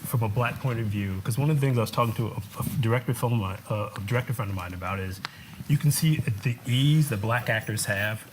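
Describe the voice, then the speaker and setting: A man interviewing a guest in a casual conversation, asking animated, searching questions. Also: on the low side, fast talker. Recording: noisy room background.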